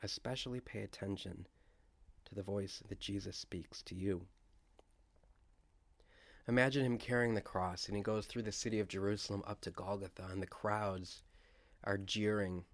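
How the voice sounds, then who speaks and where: Male prayer guide, low-pitched and level, reverent and measured, recorded dry for a guided meditation. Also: steady tone, dry recording.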